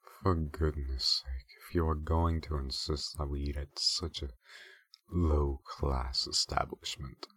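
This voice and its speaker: Snooty fed up guy for a story podcast, super deep and husky, muttering. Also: steady tone, a bit bright, no room sound, studio quality, heavily acted. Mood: exasperated. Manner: pompous, slow muttered scolding. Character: fussy, haughty exasperated man muttering in public.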